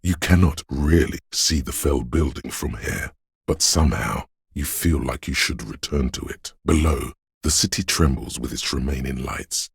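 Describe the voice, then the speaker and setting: A brooding spirit of a city, narrating a video game in hushed, poetic lines. The voice is extraordinarily deep and thick with gravel, recorded close and dry. Every phrase carries slow, ominous weight. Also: male voice, no echo, exaggerated voice. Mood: wistful, brooding. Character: brooding omniscient spirit of a city, an inner sense. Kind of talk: literary game narration in second person.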